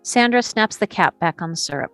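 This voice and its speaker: Female narrator for scripted action lines, lower register, recorded without room echo. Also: lively intonation, brisk, a bit bright, soft background music. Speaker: narrator reading stage directions.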